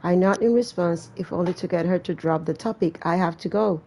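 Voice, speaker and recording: A woman gamer reads game text aloud and drops in blunt, wry asides. Her voice is on the low side and mellow, with plenty of vocal fry. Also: a little fast, slight background noise. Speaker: gaming video host. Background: faint game music.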